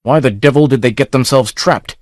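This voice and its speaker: A man's voice: medium pitch, a little fast, no echo, clean studio sound, natural voice. A man voicing a blunt, restless barbarian chieftain in a storybook reading. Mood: scornful.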